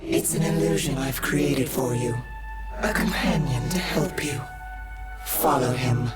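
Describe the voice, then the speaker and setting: A woman's voice: a bass voice, unhurried, loud music behind the voice. A woman voicing a sly, bored demigoddess of deception in a video game, theatrical and mocking. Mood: cool guiding. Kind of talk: video game cutscene acting.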